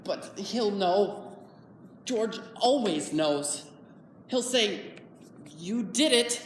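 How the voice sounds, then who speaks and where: A woman's voice: medium pitch, expressive, drawn-out words. A woman playing a simple-minded, anxious farmhand man on stage, with plaintive rustic speech. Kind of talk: dramatic stage monologue. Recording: echoey hall, far from mic, noisy.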